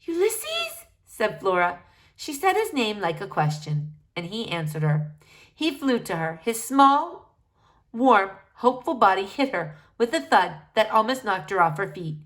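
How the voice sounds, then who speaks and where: A woman narrating a children's book aloud in an expressive storytelling style. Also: mid-range voice, measured pace.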